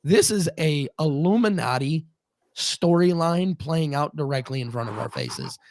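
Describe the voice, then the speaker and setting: A man's voice: a little high, very animated, unhurried, gravelly, a little mellow, no room sound. A man in his twenties co-hosting a casual wrestling stream, talking animatedly, swearing and repeating words. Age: 20s. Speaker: co-host. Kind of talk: casual live-stream chat.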